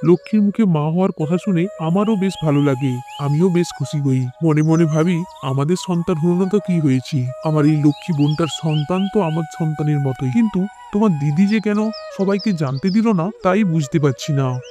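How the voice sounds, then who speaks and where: A man's voice: on the high side, brisk, warm tone, lightly acted. A man playing a kindly, caring married brother-in-law in a cartoon story. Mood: earnest. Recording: music bed behind the voice.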